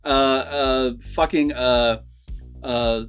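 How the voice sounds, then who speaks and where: A man's voice: medium pitch, fairly even intonation, extremely slow, fairly bright tone, clean tone, faint music behind. A man chatting casually and profanely as a movie review co-host, rambling and repeating himself for emphasis.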